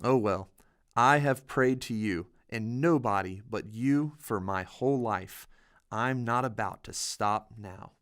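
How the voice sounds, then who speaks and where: A man's voice: fairly low pitch, slow pace, a bit bright. A man voicing an elderly, devout and steadfast Hebrew official in a Bible storybook reading. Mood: resigned, devout.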